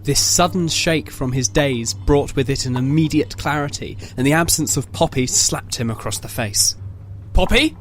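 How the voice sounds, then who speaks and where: A man giving a measured, literary narration of a scripted dramatic story. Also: medium pitch, slight background noise.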